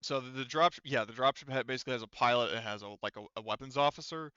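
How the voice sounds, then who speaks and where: A man running a tabletop roleplaying game as game master, explaining things casually and haltingly with frequent restarts. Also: medium pitch, pushed and bright, smooth, no echo, muffled recording.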